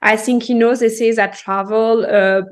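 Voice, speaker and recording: A woman from Martinique with a French Caribbean accent, a podcast guest talking in a halting way, with many fillers and restarts. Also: a little high, fairly even intonation. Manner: halting, hesitant speech with frequent fillers and restarts. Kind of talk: casual interview conversation.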